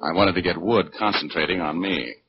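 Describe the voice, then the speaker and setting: Wry, slangy male gumshoe, low voice, vintage muffled radio drama sound. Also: a little fast, soft background music. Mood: tense narrating. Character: wisecracking tough male private detective, narrating and scheming. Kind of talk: 1940s radio detective acting, first-person narration. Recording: dull 1940s radio broadcast.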